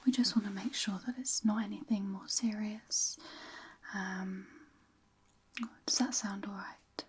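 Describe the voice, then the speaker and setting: Soft whispering woman, gentle ASMR medical roleplay. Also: mid-range voice, steady tone, measured pace, husky. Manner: gentle, hesitant, reassuring, explaining each step. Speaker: ASMR performer playing a physiotherapist.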